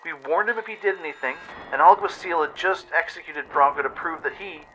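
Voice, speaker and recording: A distraught young pony mare, played by a man, speaks in a raised, very bright voice. She is emotional and faltering, a wasteland heroine in a radio play. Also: on the high side, fairly quick, a little hiss or hum, pitched above the performer's own voice, low-quality recording. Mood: overwhelmed. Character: shocked young female pony wasteland adventurer in turmoil.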